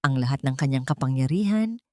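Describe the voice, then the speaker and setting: Low-pitched woman narrating drama, solemn. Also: lively intonation, a little fast, mellow, no room sound, studio quality. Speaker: audiobook narrator. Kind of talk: scripted dramatic story narration.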